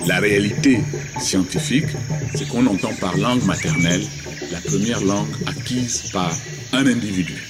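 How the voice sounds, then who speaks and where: A man from Gabon explaining ideas about the mother tongue in a measured way. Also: lowish voice, loud music behind the voice. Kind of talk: explanatory commentary.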